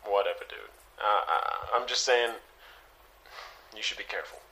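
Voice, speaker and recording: A man playing a nervous, reluctant young superhero intern in an audio drama, stammering and halting as he talks. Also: on the low side, lively intonation, pushed and bright, rough recording. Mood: awkward concern.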